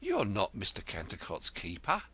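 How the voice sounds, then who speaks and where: A man's voice: on the low side, huge pitch swings, normal speed, sharp tone, breathy edge, no room sound, exaggerated voice. Man doing a meek timid shopkeeper. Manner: meek, placating. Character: meek timid middle-aged shopkeeper husband.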